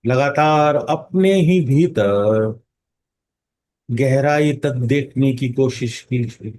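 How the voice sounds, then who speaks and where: Very slow, mellow male meditation guide. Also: mid-range voice. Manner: calm, unhurried, instructing with long pauses and drawn-out words. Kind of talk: guided meditation in Hindi mixed with English phrases.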